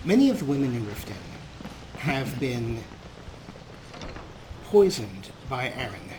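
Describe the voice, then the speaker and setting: Male let's play host, mid-pitched, wry and talkative showman style, noticeable background noise. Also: very animated, slow. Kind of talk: gameplay commentary. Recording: clearly noisy, game ambience underneath.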